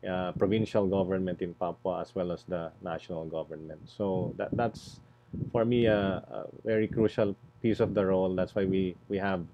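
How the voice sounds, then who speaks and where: Male NGO panelist, deep and halting, explaining off the cuff over muffled, slightly noisy audio. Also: fairly even intonation. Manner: halting, deliberate, frequent repeated words. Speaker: environmental NGO executive, panel presenter. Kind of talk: spontaneous panel presentation.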